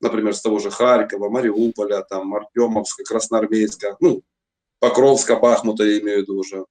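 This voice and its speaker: A man's voice: fairly low pitch, fairly even intonation, brisk, a little mellow. A middle-aged man from Donetsk, Ukraine, telling his own story at length over a webcam video call, rambling with many fillers and restarts. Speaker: chat roulette guest. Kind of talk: long personal storytelling.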